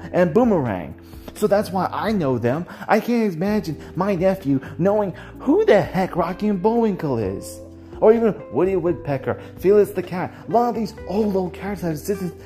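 Mellow soft-edged voice, mid pitch, casual host, rambling and hesitant solo commentary, faint music behind.

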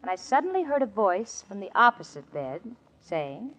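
An actress playing a sensible, exasperated young roommate in a 1940s radio comedy, with a wry, theatrical delivery. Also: female voice, medium pitch, sing-song, normal speed, fairly bright tone. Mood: wry narrating. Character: exasperated young woman roommate, sensible, sarcastic, long-suffering. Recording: muffled, noisy old radio broadcast recording. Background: studio audience, hiss.

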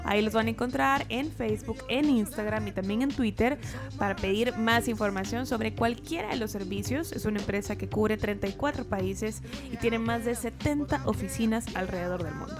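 A woman co-host with a slightly high voice reads sponsor messages in a smooth, upbeat manner. Music runs clearly under her. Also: normal speed. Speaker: radio co-host. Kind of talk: reading sponsor plugs.

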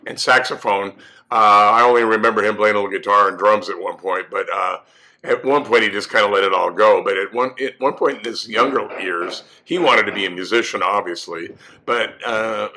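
A male musician from California, hoarse from laryngitis, telling stories in a rambling, relaxed way with many fillers. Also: fairly low pitch. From California, USA. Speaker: musician guest. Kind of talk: storytelling interview answers.

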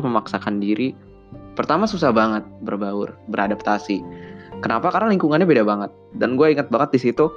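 A man's voice: medium pitch, dull audio. A young man from Bandung hosting a podcast, telling personal stories in casual slangy chat and acting out childhood dialogue in a raised voice. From Bandung, West Java, Indonesia. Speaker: podcast host.

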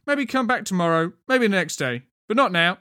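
A man voicing a gruff, stubborn fantasy city guard in an audiobook, blunt and repetitive. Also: high voice, big pitch swings, fairly quick, bright, forceful tone, no echo, clean studio sound, voice pushed up, exaggerated voice. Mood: stubborn, dismissive.

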